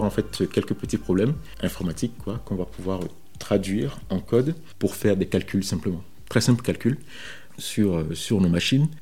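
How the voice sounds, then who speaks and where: Low-voiced, mellow man from Benin. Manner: hesitant, halting delivery with frequent repetitions. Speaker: software developer interviewed about his career. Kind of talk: informal first-person career account.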